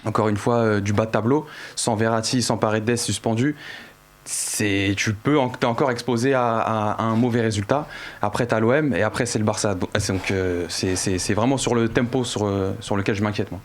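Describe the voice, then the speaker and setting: A man with a somewhat low voice talks as a football pundit. His delivery is spontaneous, in the manner of a panel discussion. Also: moderate pace.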